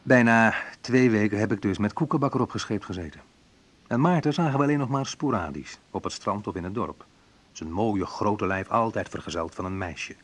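An actor playing a reflective man in a naturalistic radio drama, talking in a conversational, hesitant, wry way with a husky voice. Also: lowish voice, fairly quick. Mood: wry, nostalgic. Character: Menno, reflective man recalling a school friend. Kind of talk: naturalistic radio drama monologue and phone talk.

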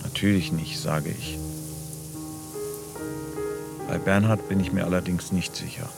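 An actor playing a sober, probing grown son who speaks and narrates matter-of-factly in a radio play. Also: male voice, low voice, fairly even intonation, a little fast, gravelly, loud music behind the voice. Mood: sober, grim. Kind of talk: radio play dialogue and first-person narration.

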